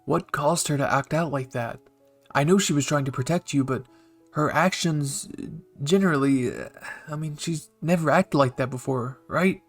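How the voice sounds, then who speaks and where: Male reader doing eccentric woman scientist: chatty, rambling, raised and gravelly. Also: on the high side, moderate pace, faint music behind.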